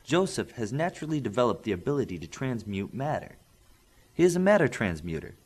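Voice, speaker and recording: Man voicing a businesslike thirties woman, formal and measured. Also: medium pitch, big pitch swings, darkish tone, breathy edge. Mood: polite, businesslike. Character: businesslike, polite, firm official woman in her thirties.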